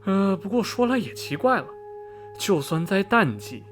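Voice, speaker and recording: A man's voice: high-pitched, very animated, moderate pace. A man playing a chatty middle-aged railway station veteran in a radio drama, folksy and rambling, with many sighs and interjections. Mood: gossipy storytelling. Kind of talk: Mandarin radio drama dialogue, storytelling. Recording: music behind the voice. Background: background music.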